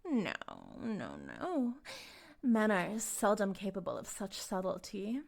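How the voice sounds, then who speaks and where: A woman playing a possessive, self-righteous cult leader in an intimate audio roleplay, cooing and condescending, sometimes breathy, speaking directly to the listener. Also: medium pitch, expressive, slow pace, a little mellow, full character voice. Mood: smug, coaxing. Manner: theatrical, cooing, condescending, drifting into breathy whispers.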